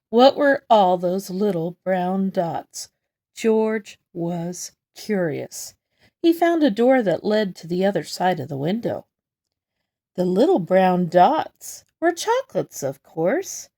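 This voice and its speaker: Mellow, lively woman reading a storybook. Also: medium pitch, takes it slowly. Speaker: storybook reader. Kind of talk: children's read-aloud narration.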